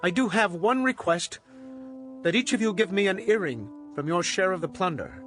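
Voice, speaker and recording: A forceful, stern ancient war leader. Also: male voice, high voice, animated, moderate pace, soft background music. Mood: firm. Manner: forceful, declamatory. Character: Gideon, battle-weary male Israelite war leader, stern and commanding. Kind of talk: dramatized audio Bible acting.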